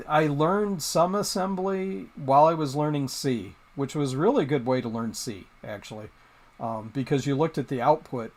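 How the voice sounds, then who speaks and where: Rambling man hosting a live stream. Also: medium pitch. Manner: rambling, hesitant, many ums and restarts. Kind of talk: casual live stream chat.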